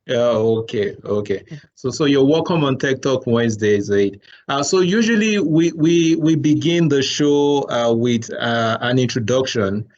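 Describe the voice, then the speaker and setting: A man's voice: medium pitch, lively intonation, unhurried, few pauses, a little mellow, lo-fi sound. A man hosting an online tech talk show, hesitant and repeating words with many fillers, over a video call. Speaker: host.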